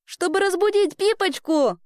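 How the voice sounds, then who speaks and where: Woman voicing an excitable, high-pitched storybook girl. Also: moderate pace, dry recording, clean studio sound. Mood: delighted. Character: emotional young girl, tearful, excitable and earnest.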